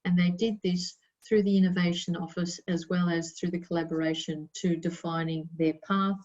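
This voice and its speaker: A woman's voice: lowish voice, fairly even intonation, moderate pace, a little mellow, dull audio. A woman whose first language is Italian, giving an emphatic, chatty webinar presentation with fillers. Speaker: innovation presenter.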